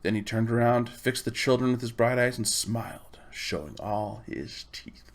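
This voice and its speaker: A man reading a children's storybook aloud in expressive, measured phrases. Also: mid-range voice. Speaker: audiobook reader. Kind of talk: storybook narration.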